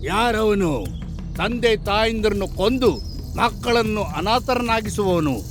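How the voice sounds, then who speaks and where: A man's voice: high-pitched, slow pace, over music. A man playing a proud, stern tiger king in a children's cartoon story, speaking in an imperious manner. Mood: grave, concerned.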